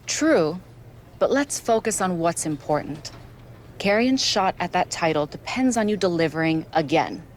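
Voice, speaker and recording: Casting: actress for a scheming female wrestling manager in a game, low voice, measured and exacting, faint background noise. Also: animated, natural voice. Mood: cool, calculating. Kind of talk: video game cutscene acting.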